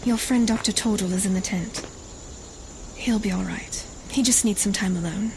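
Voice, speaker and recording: An actress playing a composed, practical rebel leader in an audio drama, businesslike and reassuring. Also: female voice, mid-range voice, moderate pace, vocal fry, background noise, close to an everyday voice. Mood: composed, resolute.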